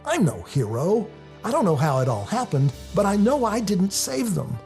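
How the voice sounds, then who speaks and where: A man narrates a first-person story in a confessional, expressive way. His voice is dark and mellow with a heavy gravelly fry, and soft music plays underneath. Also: high voice, moderate pace. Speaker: author reading their own novel.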